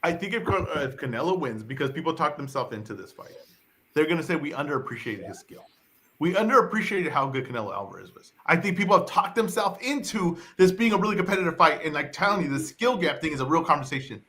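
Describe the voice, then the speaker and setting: A man's voice: a little high, quick pace, a bit bright. A man on a sports show panel, chatting casually and giving his analysis.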